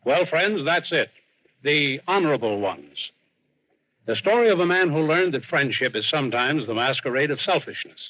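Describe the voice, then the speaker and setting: A man's voice: a little high, lively intonation, normal speed, natural voice. An actor playing a genial, reflective adventurer who closes his tale in 1940s radio narration. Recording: muffled, faintly noisy vintage radio recording.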